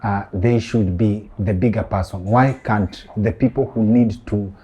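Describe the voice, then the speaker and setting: A man hosting a podcast interview, with a lowish voice that carries a husky grain. He asks probing questions and presses his arguments, pausing with small fillers as he shapes each one. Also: fairly even intonation, warm tone. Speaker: podcast host.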